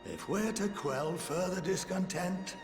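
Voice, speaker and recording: Fussy exasperated old professor, video game style, really lively high voice, music behind. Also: male voice, unhurried. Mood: lecturing. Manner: exasperated, pedantic, theatrical. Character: crotchety, meandering old male scholar, head of an academy. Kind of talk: theatrical video game acting. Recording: echoey hall, orchestral music behind.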